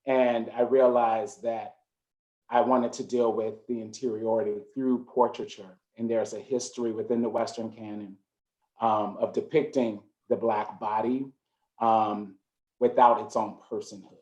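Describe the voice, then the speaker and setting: A man, a curator speaking on a video call panel, measured and reflective with occasional fillers. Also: on the low side, flat delivery, mellow, dull audio. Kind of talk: panel discussion.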